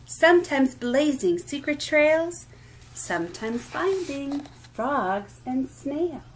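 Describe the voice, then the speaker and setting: Woman reading aloud to children, slightly high voice. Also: slight background noise, rough recording. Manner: expressive reading in short, deliberate phrases. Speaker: children's story time host. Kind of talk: read-aloud picture book storytelling for children.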